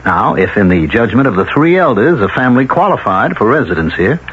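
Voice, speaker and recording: An actor playing an elitist community elder in a 1970s radio drama, formal, measured and persuasive with quiet menace. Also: male voice, medium pitch, sing-song, husky. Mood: smooth conviction. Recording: dull 1970s radio recording, faint noise.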